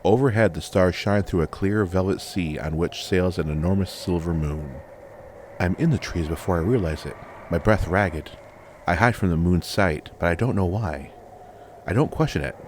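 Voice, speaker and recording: A man with a low, deep voice narrates a fantasy tale in the first person. His delivery is measured and dramatic, built on deliberate phrases. A little noise and ambience runs under the recording. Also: darkish tone. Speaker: audiobook narrator.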